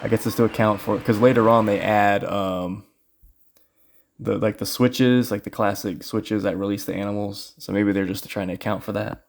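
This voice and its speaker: A man's voice: lowish voice, fairly even intonation, normal speed, creaky. A man giving casual, informal speedrun commentary, rambling as he explains the game. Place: voice-over recorded over game footage. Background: game music.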